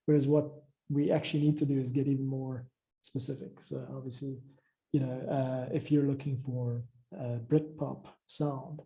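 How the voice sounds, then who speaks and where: A man's voice: mid-range voice, flat delivery, moderate pace, creaky, mellow, rough recording. A man in an informal work conversation, talking as a music creator.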